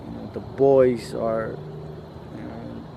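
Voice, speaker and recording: A man from a post-Soviet country speaking in a casual, reflective monologue, halting and repeating himself, with lots of slangy filler. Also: medium pitch, little pitch movement, vocal fry, lo-fi sound, noisy background. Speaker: podcast host.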